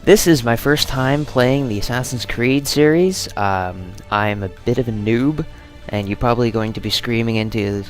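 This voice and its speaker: A man's voice: mid-range voice, moderate pace, faint music behind. A man hosting a gaming video with casual, rambling, self-deprecating commentary. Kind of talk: casual Let's Play commentary.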